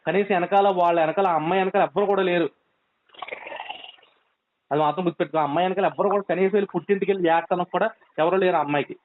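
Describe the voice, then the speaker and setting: Man, coastal Andhra Telugu accent, high voice, very fast, insistent and admonishing, phone conversation. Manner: insistent, admonishing, repeats himself. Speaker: friend on a phone call. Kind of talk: urgent, pleading phone conversation. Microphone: telephone. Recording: tinny narrowband phone call.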